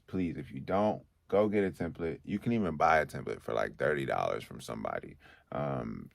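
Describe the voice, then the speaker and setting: A man with a deep, bassy voice teaching recording in a relaxed, conversational way, often checking in with "okay?". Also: gravelly, dry recording. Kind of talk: casual tutorial.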